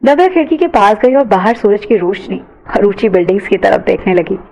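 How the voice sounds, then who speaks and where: A woman's voice: medium pitch, fairly quick, darkish tone, dull audio, faint music behind. A woman reading scripted narration for an audio story.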